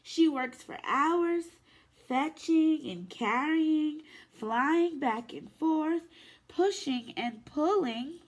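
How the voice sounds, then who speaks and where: A woman preschool teacher reading a picture book aloud to young children in an expressive, sing-song way. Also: sits high, extremely slow. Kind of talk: children's story time read-aloud.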